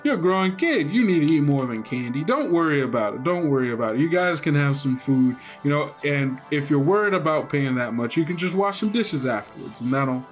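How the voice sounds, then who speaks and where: A man's voice: fairly high pitch, lively intonation, brisk, hardly any pauses, faint music behind, natural voice. A man voicing a cheerful, folksy fatherly figure with an encouraging, emphatic manner in a tabletop role-play. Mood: reassuring.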